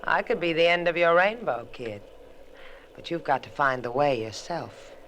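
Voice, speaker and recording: Wisecracking captive woman, vintage radio adventure. Animated range, bright edge, teasing and sultry. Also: sits low. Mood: seductive. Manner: sardonic, teasing, drawn-out. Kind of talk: old-time radio adventure acting. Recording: noisy old radio recording.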